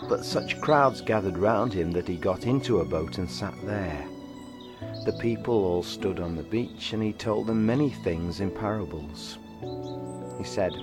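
Male scripture reader, very deep, measured delivery over clear background music. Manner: measured, steady reading. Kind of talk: gospel reading.

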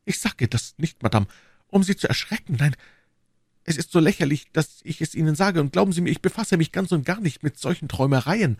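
A man's voice: fairly high pitch, expressive, brisk, slightly rough, dry recording, clean studio sound, voice pushed up. A man voicing a passionate, lovestruck English duke from a classic novel, pleading and fatalistic in an ardent, courtly, declamatory manner. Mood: earnest.

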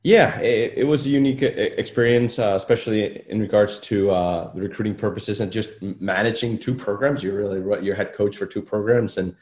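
Deep-voiced Icelandic man, friendly. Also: steady tone, fairly quick, hardly any pauses, darkish tone. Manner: friendly, thoughtful, many fillers and restarts. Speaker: golf coach. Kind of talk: casual interview conversation.

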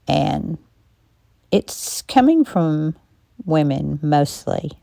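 A woman with a low, gravelly, hoarse voice talks slowly and thoughtfully through a personal podcast monologue. She has a non-native English accent, pauses often between her thoughts, and sounds close and dry on the mic. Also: dry recording. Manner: calm, reflective, measured delivery with frequent pauses. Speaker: podcast host.

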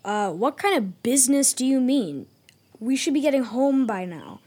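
A woman playing a blunt, excitable kid with a casual manner in a children's audio drama. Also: sits high, moderate pace, husky. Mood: casual, puzzled.

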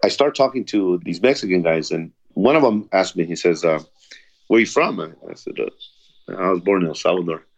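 A man's voice: deep voice, normal speed. A man telling his own story of prison in an earnest, plain way, with restarts. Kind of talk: personal testimony interview.

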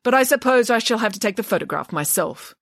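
A woman's voice: on the high side, animated, fast, gravelly, fairly bright tone, no echo, studio quality, pitched above the performer's own voice, full character voice. A woman voicing a small, fierce pig nanny who speaks grandly with haughty, theatrical outrage.

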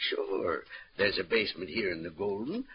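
An actor playing a cheeky, talkative theater stage-door attendant in 1940s radio crime drama, with colloquial wisecracks. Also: male voice, deep voice, lively intonation, normal speed. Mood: chatty, puzzled. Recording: dull old radio recording.

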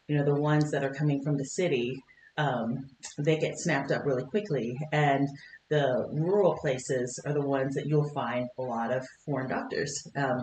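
A woman's voice: rumbling low, slight background noise. Chatty woman guest in a roomy studio. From Kentucky, United States. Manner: warm, chatty, laughs often, many fillers. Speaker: podcast guest. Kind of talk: conversational interview. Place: podcast studio.

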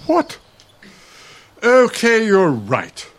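An actor with an American accent playing a grumpy, needling older husband in a radio play, with a dry, sardonic manner. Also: male voice, high voice, huge pitch swings, very slow, exaggerated voice. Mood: testy.

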